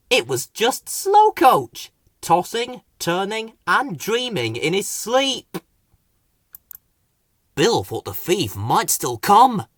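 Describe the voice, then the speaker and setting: A man reading a children's story as narrator, in a dramatic, expressive scripted delivery. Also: on the high side, no echo, clean studio sound.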